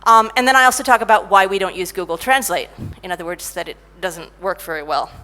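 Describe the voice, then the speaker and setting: A middle-aged woman giving a conference talk about technical writing, lively and self-mocking as she tells stories. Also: medium pitch, fast talker. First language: English. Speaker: conference speaker, technical writer.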